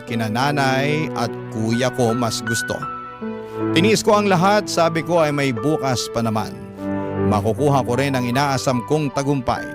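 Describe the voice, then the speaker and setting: A man with a Filipino accent reading a listener's letter in an emotive, theatrical way as a radio drama host. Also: medium pitch. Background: loud background music.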